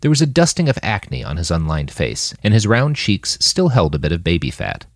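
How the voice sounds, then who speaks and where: A man's voice: sits low, wildly swooping pitch, normal speed, no room sound, clean studio sound. A man reading scripted fiction as an audiobook narrator.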